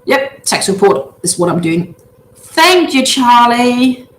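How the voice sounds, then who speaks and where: A woman in her thirties from Denmark with a Danish accent, a native Danish speaker, hosting a casual livestream from her big living room and chatting with viewers in a halting way with lots of filler sounds. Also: on the high side, big pitch swings. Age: 30s. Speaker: livestream host. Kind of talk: casual livestream chat, reading out viewer comments. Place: large open-plan living room at home. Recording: roomy echo.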